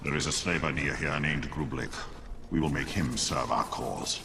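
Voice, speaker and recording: An actor playing a solemn, vengeful spirit in a video game, speaking gravely and formally with a gravelly tone. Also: male voice, extremely low pitch, normal speed. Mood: solemn, commanding. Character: solemn, imperious, vengeful elven wraith spirit. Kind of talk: dramatic video game acting. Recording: loud music behind.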